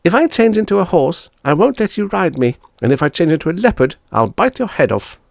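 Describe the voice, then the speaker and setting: Man doing a bossy boy with raised pitch. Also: very animated, a little fast, vocal fry, dry recording, clean studio sound. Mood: disgusted, stubborn. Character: bossy young boy inventor, impatient and scornful big brother. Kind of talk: audiobook character voice.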